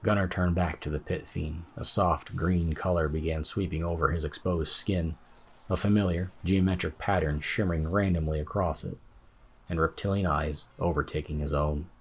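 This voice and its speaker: Deep-voiced man narrating a fantasy story. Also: steady tone, moderate pace, breathy edge. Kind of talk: scripted fantasy audiobook narration.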